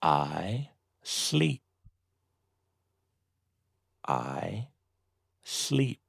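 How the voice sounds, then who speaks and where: A man reading a slow, scripted beginner English lesson, saying each short sentence twice with deliberate pauses. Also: low voice, big pitch swings, creaky, husky, no echo, studio quality. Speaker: English teacher.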